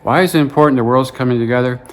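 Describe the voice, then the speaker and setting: A young man, a guest supporter, speaking earnestly and gravely at a slow pace. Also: mid-range voice. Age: young adult.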